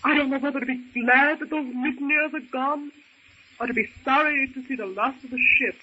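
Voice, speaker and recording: A very high, sharp-edged young lady's voice comes through a dull old radio recording. She is a genteel castaway, earnest and anxious. Her phrasing is theatrical and polite, in adventure-serial style. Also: steady tone, brisk, slight background noise. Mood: worried.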